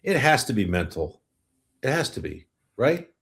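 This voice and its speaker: A man's voice: medium pitch, very animated, moderate pace, fairly bright tone. A middle-aged man hosting a sports podcast, asking and reacting in a conversational interview style. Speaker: podcast host.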